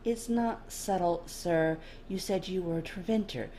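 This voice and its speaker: A woman's voice: fairly low pitch, a little slow, mellow, slight background noise, lightly acted. A woman voicing a guarded female linguist in a story, clipped and politely dry, feigning meekness. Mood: cool, controlled.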